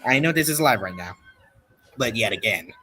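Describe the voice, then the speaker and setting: A man chatting casually as a livestreamer doing reactions, speaking haltingly and repeating himself. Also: on the low side, lively intonation, bright, forceful tone, a little hiss or hum.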